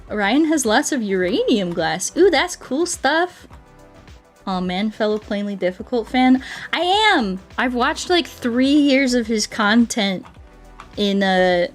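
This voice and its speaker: A woman with a mid-pitched voice chats casually, like a streamer talking to viewers. Her delivery is playful and expressive as she tells stories. Light music plays behind her. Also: takes it slowly. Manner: expressive, playful, acts out quoted dialogue. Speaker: game streamer chatting with viewers. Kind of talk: casual chat, storytelling.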